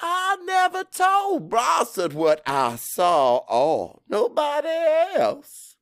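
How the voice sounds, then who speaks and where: Audiobook role: combative old Cajun storyteller, pitched high, swooping, folksy and fiery. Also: male voice, wildly swooping pitch, slow pace, no echo, studio quality, full character voice. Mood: insistent storytelling. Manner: loud, emphatic, folksy, mixes French into English.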